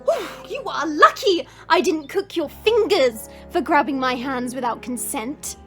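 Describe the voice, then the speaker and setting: A woman acts out a proud fantasy heroine for an audio roleplay. Her voice is high and swoops expressively, with a slow, smug delivery. She sounds superior and teasing, yet caring underneath. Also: lively intonation, sharp tone, faint music behind, full character voice. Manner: smug, sardonic, drawn-out delivery.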